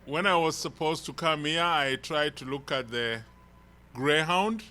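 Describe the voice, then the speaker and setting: Slow-talking Kenyan man preaching. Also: a little high, pushed and bright. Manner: slow, rambling storytelling with restarts. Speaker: visiting elder preacher. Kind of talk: informal sermon introduction. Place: church hall.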